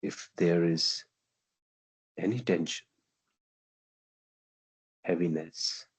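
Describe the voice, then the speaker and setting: Indian guy doing guided meditation, low husky voice, gentle, lots of pauses. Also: dry recording. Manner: gentle, unhurried pauses, simple repeated phrases. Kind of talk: guided meditation, slow calming instruction.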